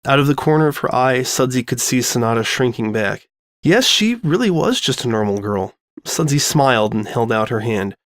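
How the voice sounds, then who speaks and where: Male storyteller, medium pitch, expressive scripted narration, very dry recording. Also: moderate pace, dry recording.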